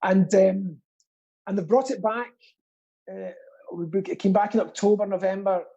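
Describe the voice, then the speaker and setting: A man's voice: high voice, steady tone, moderate pace, mellow, muffled recording. A man chatting casually as a guest, rambling and earnest, with a self-deprecating streak. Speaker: guest, pantomime actor and theatre stagehand. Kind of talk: casual chat.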